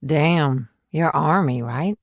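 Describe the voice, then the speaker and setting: A woman playing a gruff, irritable, sharp-tongued small-town policewoman with a husky voice in an audiobook. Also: low voice, slow pace, no room sound, studio quality. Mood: irritated. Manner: blunt, irritable, salty-tongued. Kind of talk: audiobook character acting.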